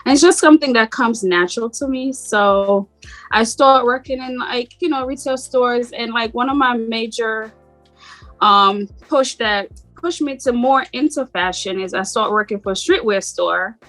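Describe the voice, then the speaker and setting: A middle-aged woman with a slight Jamaican accent, talking about herself in a relaxed, rambling way as an interview guest. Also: on the high side, normal speed, soft background music. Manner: relaxed, rambling, frequent "like" fillers. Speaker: boutique owner guest. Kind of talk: casual interview chat.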